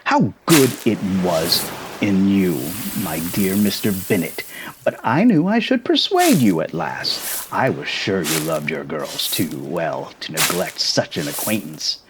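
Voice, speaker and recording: A man's voice: sits low, big pitch swings, measured pace, background noise. A man voicing a fretful, melodramatic middle-aged wife and mother who scolds and exclaims, in a storybook reading. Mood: delighted.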